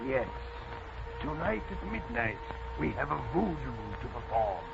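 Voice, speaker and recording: A man's voice: medium pitch, very animated, measured pace, gravelly, loud music behind the voice. An actor playing a sinister, coldly commanding voodoo miracle man with solemn, portentous delivery in an old radio drama. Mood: coldly menacing. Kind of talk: 1940s radio acting. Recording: noisy muffled old radio recording.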